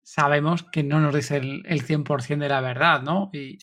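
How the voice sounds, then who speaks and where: A man's voice: on the high side, fairly even intonation, moderate pace, fairly bright tone. A man, co-hosting a podcast in a casual, friendly way and steering the conversation. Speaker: podcast co-host. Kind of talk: casual interview chat.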